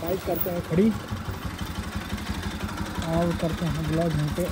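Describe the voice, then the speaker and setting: A man's voice: a little high, flat delivery, measured pace. A young man chatting informally to viewers in a casual vlog as he rides. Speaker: motovlogger. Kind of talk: casual vlog narration while riding. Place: outdoors, riding a motorbike through streets. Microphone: phone mounted on rider. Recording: very noisy outdoor motorbike engine noise.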